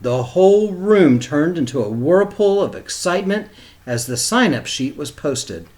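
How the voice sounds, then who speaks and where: A man reading a picture book aloud to young children like a teacher, in an expressive style. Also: on the high side, unhurried. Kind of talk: expressive storybook read-aloud.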